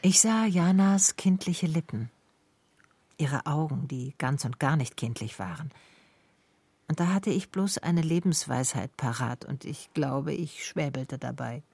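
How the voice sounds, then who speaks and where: A woman's voice: sits low, a little slow, darkish tone, breathy edge, dry recording, full character voice. An actress playing a shaken, introspective female private detective who narrates her case in a radio play. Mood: reflective.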